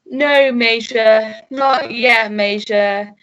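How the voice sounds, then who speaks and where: A woman playing a commanding, bossy male police sergeant with deliberate delivery in a radio melodrama. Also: fairly high pitch, fairly even intonation, a bit bright, muffled recording. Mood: pondering, dutiful. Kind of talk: melodramatic radio play acting.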